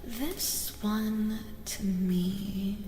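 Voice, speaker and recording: Soft, half-whispering woman with long reverb. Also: medium pitch, very slow. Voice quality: soft, breathy, often dropping to a whisper. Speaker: ASMR host and tarot reader. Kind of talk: soft-spoken ASMR tarot reading. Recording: echoing long reverb, music underneath.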